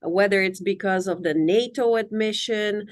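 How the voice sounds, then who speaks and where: Articulate woman from Romania. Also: medium pitch. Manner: measured, articulate, formal phrasing. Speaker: immigration attorney and guest. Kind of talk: interview answers.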